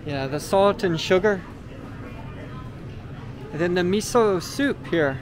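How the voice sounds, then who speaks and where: A man's voice: sits high, slow pace, a crowd in the background. A man hosting a vlog, casually explaining products as he walks through a large indoor supermarket, with many fillers and a camera mic. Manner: relaxed, explanatory, frequent uh fillers. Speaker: vlog host. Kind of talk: casual walking tour commentary. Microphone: handheld camera mic while walking. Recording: noisy store background. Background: store ambience noise.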